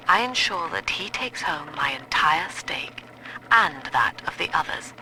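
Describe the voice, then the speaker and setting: An actress playing a cold, cerebral cult leader who dictates an audio diary in a measured, clinical, commanding way. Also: female voice, lowish voice, creaky, full character voice. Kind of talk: dramatic audio-diary monologue. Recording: bright, faintly noisy audio-log tape.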